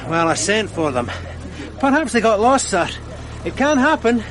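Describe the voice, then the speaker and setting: An actor playing a patient, dryly ironic police sergeant in a radio drama, measured and deadpan. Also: male voice, high voice. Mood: matter-of-fact.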